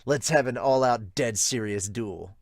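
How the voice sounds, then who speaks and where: A man's voice: medium pitch, measured pace, dry recording, studio quality, voice pushed down, close to an everyday voice. A man voicing a determined, proud young male baseball player in an earnest, intense manner for a manga dub. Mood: grim resolve.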